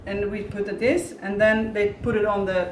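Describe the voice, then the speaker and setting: A woman from Norway guiding visitors through a small sponge museum, talking in a halting, word-searching way. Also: mid-range voice, level tone. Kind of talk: casual explaining chat. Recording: echoey room, distant from mic, faint noise.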